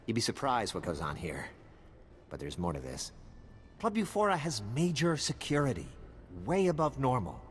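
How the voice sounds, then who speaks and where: Wry streetwise detective in a game, swingy low voice, big reverb. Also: male voice, huge pitch swings, normal speed, slight background noise. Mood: wary, urgent. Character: streetwise male freelance private investigator, wary, wry. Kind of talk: video game cutscene acting.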